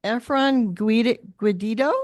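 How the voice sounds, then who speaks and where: A woman's voice: a little high, takes it slowly, darkish tone, no room sound, clean studio sound. A woman reading out student names at a school board meeting, apologetic and informal.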